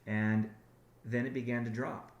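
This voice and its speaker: A man's voice: mid-range voice, flat delivery, unhurried, echoey room, muffled recording, slight background noise. A man giving a rambling business update on a conference call, often restarting his sentences. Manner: rambling, self-correcting, many restarts. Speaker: company founder on a conference call.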